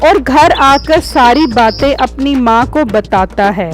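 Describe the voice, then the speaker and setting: A woman's voice: high voice, lively intonation, takes it slowly, faint music behind. A woman narrating a scripted children's fairy tale.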